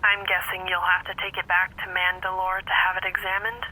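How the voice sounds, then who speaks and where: A woman's voice: on the low side, completely flat delivery, fairly quick, pushed and bright, a little hiss or hum, low-quality recording. A woman playing a stern, commanding warrior leader in a fan audio drama, curt and guarded. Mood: wary.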